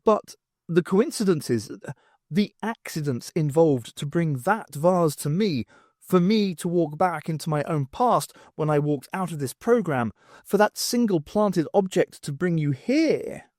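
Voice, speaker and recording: Adult heroine, played by a man, female character, high pitch, animated, wry, exasperated, emphatic, audiobook. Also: a little slow, no echo, studio quality. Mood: incredulous. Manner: emphatic, questioning.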